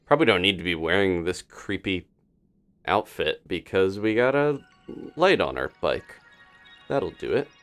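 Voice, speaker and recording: A man's voice: deep voice, expressive, a little slow, fairly bright tone, faint music behind. A man doing casual live commentary on a video game as he plays, thinking aloud. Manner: thinking aloud, talking to himself and his game character.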